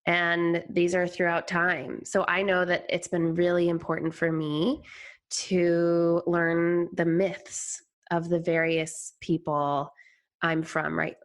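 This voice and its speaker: A woman speaking as a podcast guest in a reflective conversation, thoughtful and halting as she searches for words. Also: lowish voice, monotone.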